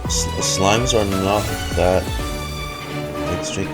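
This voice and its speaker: A man's voice: low voice, slow, creaky, over loud music. A man in his twenties giving casual streamer reactions to a game, rambling and slangy with some swearing. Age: 20s.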